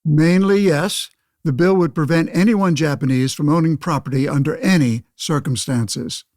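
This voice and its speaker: A man acts out a 1911 senator for a radio play, a self-righteous windbag with a rather high voice. He orates in formal, old-fashioned phrases, pompous and sure of himself. The sound is very dry. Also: moderate pace, a little mellow, dry recording, close to an everyday voice. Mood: proud, assured. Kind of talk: pseudo-radio play interview and oratory.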